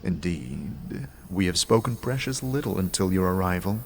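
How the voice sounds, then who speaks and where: An actor playing a sneering, secretive undead skull with stern, formal speech in a fantasy audio drama. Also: male voice, low-pitched, expressive, normal speed, a little hiss or hum. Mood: cold, defiant.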